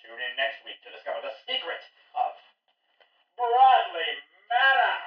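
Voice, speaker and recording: An actor playing a dramatic old-time radio announcer, speaking in a theatrical, suspenseful way. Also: male voice, fairly high pitch, very animated, measured pace. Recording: dull, echoey, distant radio-play sound.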